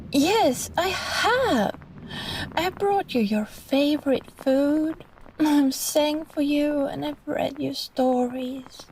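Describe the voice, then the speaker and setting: Catgirl vampire played by a woman, yandere type: sweet, clingy, possessive. High-ish voice, very unhurried, intimate ASMR roleplay. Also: a little hiss or hum, low-quality recording. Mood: hurt, insistent, then affectionate, possessive. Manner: soft, halting, pleading, breaking into sighs and small laughs.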